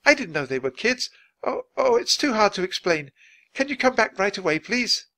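A man's voice: high voice, sing-song, moderate pace, sharp tone, voice pushed up, heavily acted. A man voicing a flustered grown woman guide in a storybook, bossy but caring, exclaiming and stammering when shocked. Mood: urgent.

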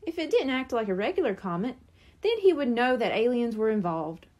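A woman reading a children's chapter book aloud as narrator, expressive and clearly phrased. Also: fairly high pitch, a little fast. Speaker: storybook reader.

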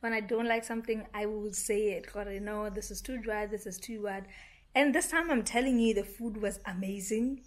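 A young woman from Botswana with a Botswana English accent, chatting excitedly to her camera in a casual vlog, often dropping to a half-whisper. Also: mid-range voice, normal speed. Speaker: vlogger. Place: small room indoors, later a parked car. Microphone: handheld camera at arm's length.

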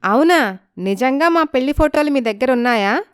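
A woman voicing a wronged young village wife in a story, polite and pleading but quietly determined. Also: sits high, lively intonation, moderate pace, smooth, no room sound, studio quality, voice pushed up. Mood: curious, eager. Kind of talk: dramatised story acting.

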